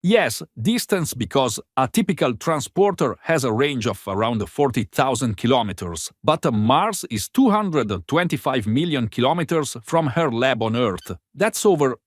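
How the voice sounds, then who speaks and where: A man playing an analytical crewman who reasons aloud deliberately in a sci-fi audio drama. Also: medium pitch, very animated, dry recording, clean studio sound. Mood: puzzled, analytical. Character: analytical male crewman reasoning through a puzzle.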